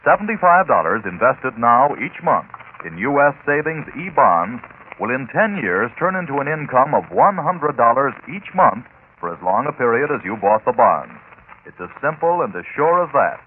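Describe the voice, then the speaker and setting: An American man delivers a scripted announcement in classic 1940s radio fashion. His intonation is lively and wide-ranging. The sound is thin and narrow, with faint noise from an old recording. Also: medium pitch, moderate pace.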